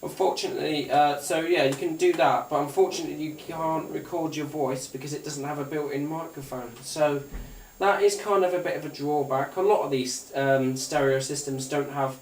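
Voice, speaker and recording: Echoey far off mic, guy kinda higher voice doing a casual gadget demo, rambles and hesitates a lot. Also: moderate pace, few pauses. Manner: rambling, hesitant, repeats phrases. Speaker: gadget review YouTuber. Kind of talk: casual unscripted product demonstration. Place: indoors at a tabletop, away from the mic. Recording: roomy, distant mic, faint hiss and clicks.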